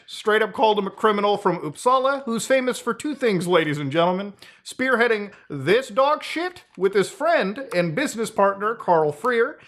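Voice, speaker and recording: Young male host with a high voice, sarcastic and excitable, tosses in crude slang and trips over his own words. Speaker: YouTube host. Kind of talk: casual commentary video.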